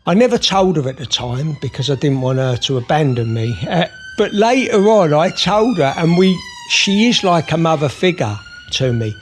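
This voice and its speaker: A man's voice: a little high, expressive, normal speed, few pauses, a little hiss or hum. A man guesting on a podcast, talking openly and at length with many fillers and restarts. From Scotland. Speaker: podcast guest, therapist and author. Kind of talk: conversational interview.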